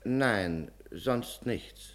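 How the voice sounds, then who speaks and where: An actor playing a meek, flustered office clerk in a 1950s radio drama, obsequious, formal and evasive. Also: male voice, on the low side, lively intonation, extremely slow, fairly bright tone, full character voice. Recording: noisy old 1950s radio recording.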